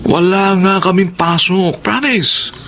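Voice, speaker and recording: An actor playing a sheepish, defensive teenage schoolboy in a radio drama, hesitant, whiny and stammering. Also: male voice, high voice, slow, fairly bright tone, slight background noise, heavily acted. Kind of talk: Tagalog radio drama acting.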